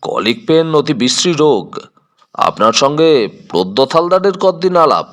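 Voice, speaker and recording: A man's voice: fairly high pitch, very animated, moderate pace, fairly bright tone. A man playing a shrewd private detective in an audio drama, composed and probing as he questions people. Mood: probing, polite.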